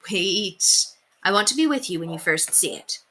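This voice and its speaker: A woman's voice: on the low side, animated, normal speed, fairly bright tone. Woman reading as an assured, teasing housekeeper. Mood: playful. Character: affectionate, playfully firm English housekeeper woman. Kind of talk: children's storybook read-aloud.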